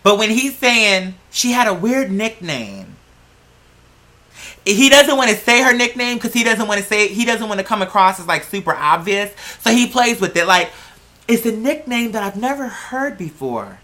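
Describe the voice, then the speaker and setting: Woman, bright forceful voice, medium pitch, blunt, skeptical, emphatic, profane casual commentary. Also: lively intonation, normal speed. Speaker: host of a reaction video.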